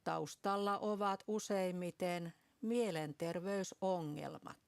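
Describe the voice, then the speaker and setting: A woman reading a broadcast news report in an even, measured delivery. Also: fairly low pitch, gravelly, no echo. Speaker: news reader.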